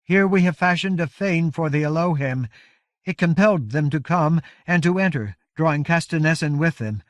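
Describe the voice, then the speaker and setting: Man voicing a high, exhausted giant warrior woman. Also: normal speed, no room sound, close to an everyday voice. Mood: solemn, resolute. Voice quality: strained with exhaustion. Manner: formal, archaic, grave oratory. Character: Rime Coldspray, weary giant woman warrior leader, solemn. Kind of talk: audiobook character voice.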